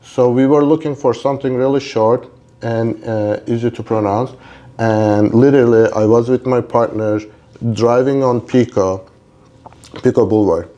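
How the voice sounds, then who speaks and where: A man's voice: medium pitch, steady tone, moderate pace, mellow, lo-fi sound. A middle-aged man from Turkey speaking conversationally as a podcast guest, with a polite, appreciative manner. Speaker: interview guest, company co-founder.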